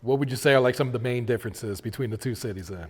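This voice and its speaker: A man's voice: mid-range voice, rapid-fire, darkish tone, husky, big-room sound. A man hosting an interview, asking questions in an easygoing, conversational way. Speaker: interview host. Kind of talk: casual interview. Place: Atlanta interview studio.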